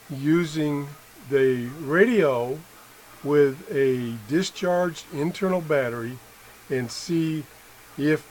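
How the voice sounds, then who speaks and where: A middle-aged man with a slightly high voice talks through a hands-on demonstration at a workbench. He is halting and unscripted, often correcting himself as he thinks aloud. The indoor recording carries faint background noise. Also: darkish tone. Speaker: amateur product tester and video host. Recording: noisy room with background sound.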